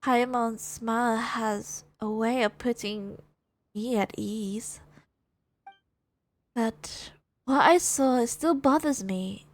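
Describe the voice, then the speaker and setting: A woman streamer reading video game dialogue and narration aloud in a measured storytelling manner. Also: on the high side.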